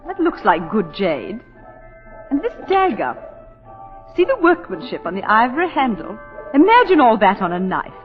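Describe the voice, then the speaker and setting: A woman's voice: fairly high pitch, sing-song, normal speed, faint music behind. An actress playing a cool, poised, enigmatic accused woman, coy and guarded, in a 1940s radio drama. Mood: curious. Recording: dull 1940s radio recording.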